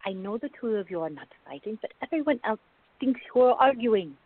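A woman's voice: fairly high pitch, very animated, fairly quick, no echo. A woman playing a firm, sensible peacemaking partner in a radio drama, with a chiding but fond manner. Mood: scolding. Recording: thin, phone-like band-limited audio.

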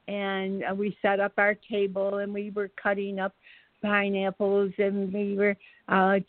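An older woman telling stories casually as a podcast guest, speaking haltingly and searching for her words. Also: mid-range voice, dry recording. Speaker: podcast guest, mother of the host. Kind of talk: casual anecdotal storytelling. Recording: thin phone-like line.

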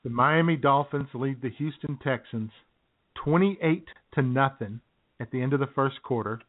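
A man co-hosting a radio show, relaxed and chuckling through casual banter. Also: medium pitch, moderate pace. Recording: tinny phone-like sound.

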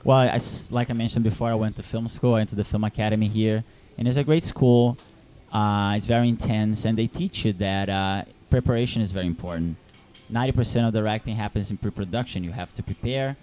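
A man's voice: on the low side, fairly even intonation, brisk, few pauses, a little mellow, a little hiss or hum. A young Brazilian man with a Brazilian Portuguese accent, a film director answering interview questions, hesitant with many fillers and restarts.

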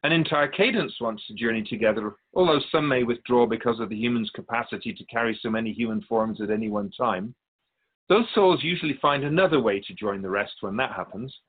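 A man playing a serene, wise otherworldly keeper of souls in a radio drama, speaking in a measured, formal, instructive manner. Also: medium pitch, narrow, tinny audio, lightly acted.

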